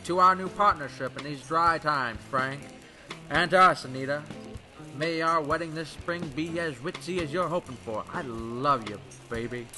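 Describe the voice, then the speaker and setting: Old time radio male actor as smooth-talking smuggler pilot: breezy, boastful, music underneath. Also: fairly high pitch, normal speed, lightly acted. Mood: smooth, affectionate. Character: flirty, boastful smooth-talking bootlegger pilot, adult man. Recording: music bed behind the dialogue.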